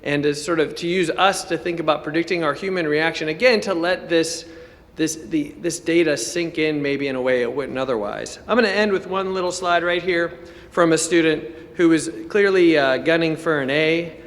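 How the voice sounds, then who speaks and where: High-voiced man presenting in a reverberant hall. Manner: conversational and self-interrupting, with halting rephrasing. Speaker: college teacher presenting at a symposium. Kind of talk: informal conference talk to a live audience. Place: indoor lecture hall at a symposium.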